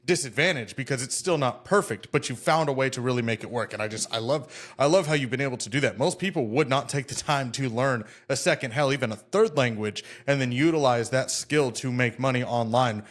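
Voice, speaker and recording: A man's voice: medium pitch, brisk, hardly any pauses, a bit bright. A man from the United States hosting a podcast over a video call, talking in an easygoing, enthusiastic way. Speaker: podcast host. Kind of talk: casual interview chat.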